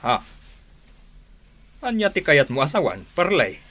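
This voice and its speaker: A sneering greedy older brother. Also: male voice, on the high side, sing-song, unhurried, a bit bright, full character voice. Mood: scornful. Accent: Ilocano. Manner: mocking, frequent sneering laughs. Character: greedy scheming older brother, laughing man. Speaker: radio drama actor. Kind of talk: Ilocano radio drama acting.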